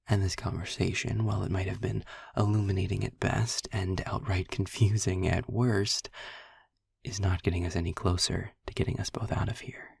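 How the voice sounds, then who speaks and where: A man playing a sarcastic, world-weary demon in an intimate audio roleplay, speaking deliberately and wryly in a breathy, husky near-whisper. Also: low-pitched, no room sound, studio quality, full character voice. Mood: irritated, dry. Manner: sardonic, deliberate, wordy. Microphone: close mic, dry.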